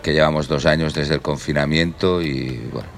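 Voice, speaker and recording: A middle-aged man from Catalonia with a Catalan accent, introducing himself to a group in a relaxed, rambling way and often correcting himself. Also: very deep voice, moderate pace, vocal fry, slight background noise. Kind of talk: casual self-introduction to a group.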